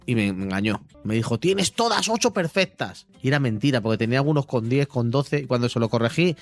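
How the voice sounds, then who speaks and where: A man's voice: mid-range voice, quick pace, soft background music. A man with a Castilian Spanish accent hosting an informal, chatty radio interview. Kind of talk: casual chat with guest and young helpers. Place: improvised festival radio studio.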